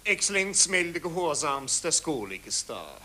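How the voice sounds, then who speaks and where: An actor playing a dutiful military subordinate with an Austrian accent, reporting formally and obediently in a hissy 1940s German radio play. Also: male voice, on the high side, sing-song, measured pace, sharp tone, heavily acted. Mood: deferential. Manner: formal, deferential announcing.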